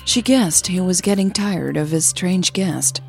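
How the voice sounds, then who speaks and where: A woman narrates like a podcast storyteller, in a lowish, slow-paced voice. Her delivery is measured and breathy, with a gravelly creak at the edges. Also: soft background music. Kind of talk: scripted narration of audio fiction.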